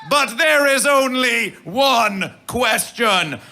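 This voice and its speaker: A man working as a festival emcee, declaiming grandiose mock-prophetic hype from the stage in a drawn-out, theatrical way. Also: extremely high pitch, expressive, creaky, bright, forceful tone.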